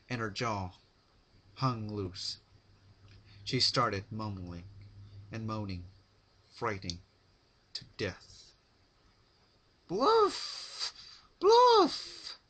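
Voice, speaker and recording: A man giving a dramatic story narration, with deliberate, halting phrasing. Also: lowish voice, steady tone, pushed and bright, a little hiss or hum.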